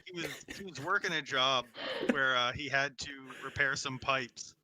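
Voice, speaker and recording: A man's voice: medium pitch, normal speed, noisy background. A man playing a tabletop game, telling a story in a casual, humorous style.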